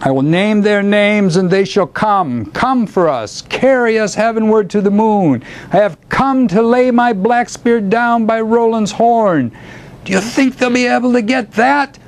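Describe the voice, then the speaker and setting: A man performing an eccentric, high-pitched declaiming bard. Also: unhurried. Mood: defiant, invoking. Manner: theatrical, incantatory declamation. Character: eccentric grandiose male elder poet, bardic and defiant. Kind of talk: dramatic epic poetry recital.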